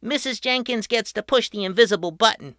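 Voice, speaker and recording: A woman voicing an excited young boy who shows off his inventions, calling out like a showman. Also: slow, no echo, studio quality, exaggerated voice. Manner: shouty and showman-like, booming announcements.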